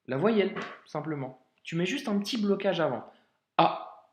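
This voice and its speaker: French male tutor, slightly high-pitched, relaxed and encouraging, recorded with room echo. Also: normal speed. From France. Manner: casual, reassuring, frequent "hein" and "d'accord". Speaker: Arabic reading teacher. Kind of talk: friendly tutorial speaking directly to the learner.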